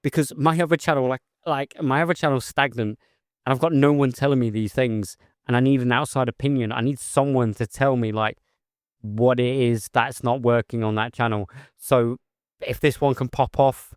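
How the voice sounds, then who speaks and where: A man talks straight to his viewers in a steady, monotone, settling voice, earnest and a little rambling. His voice sits at an everyday middle pitch. The sound is close and dry, with no room echo. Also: normal speed, smooth. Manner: rambling, earnest, with filler phrases and restarts. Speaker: vlogger, heavy goods mobile mechanic. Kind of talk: casual talk to camera.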